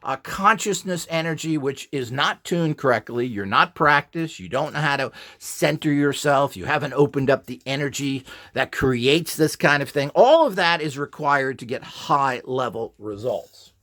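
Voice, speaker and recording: A man's voice: medium pitch, moderate pace, a bit bright. A man giving an informal instructional monologue in a blunt, opinionated, emphatic and rambling way. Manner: opinionated, blunt, scornful of rivals. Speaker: presenter selling upgrade equipment.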